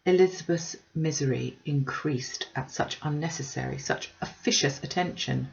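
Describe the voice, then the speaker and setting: A woman's voice: sits low, normal speed, creaky, lo-fi sound. A woman reading a classic novel aloud as scripted audiobook narration.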